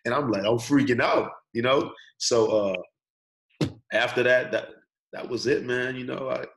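A man's voice: mid-range voice, steady tone, normal speed, creaky, a bit bright, poor audio. A young man from the Bahamas with a Bahamian accent, talking casually as a guest on a video-call interview, laid-back and peppering his talk with "bro" and "you know.". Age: young adult. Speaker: student athlete guest. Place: small indoor room. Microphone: webcam on video call.

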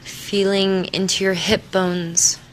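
A woman leading a guided meditation in a soothing, unhurried manner, leaving long pauses between short instructions. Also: mid-range voice, level tone, a little hiss or hum, low-quality recording. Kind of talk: guided meditation, body scan.

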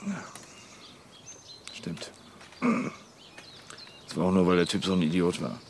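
An actor playing a middle-aged, awkward, gruff husband and mechanic in a radio play, terse and plain-spoken. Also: male voice, low voice, huge pitch swings, slow, slight background noise, heavily acted. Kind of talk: German radio play acting.

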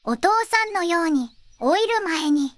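A woman's voice: very high-pitched, animated, a little slow, no room sound. A synthetic female voice playing a small, earnest, lonely but determined boy creature in an audio fairy tale, cutesy and stilted. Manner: cutesy, stilted, ends sentences with a verbal tic.

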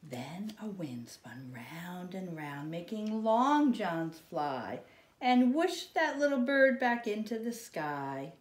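A middle-aged American woman reading a children's storybook expressively, with drawn-out dramatic emphasis and hushed asides. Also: fairly low pitch, big pitch swings, slight background noise. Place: living room, indoors. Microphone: camera a short distance away. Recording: echoey home room.

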